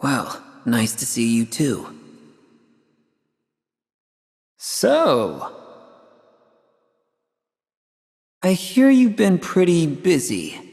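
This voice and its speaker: A man's voice: on the high side, slow, slightly rough, no echo, clean studio sound, full character voice. A man playing a brave, cocky young soldier hero in a video game, casual and earnest, loyal and defiant. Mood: reflective. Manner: casual, wisecracking, earnest, expressive.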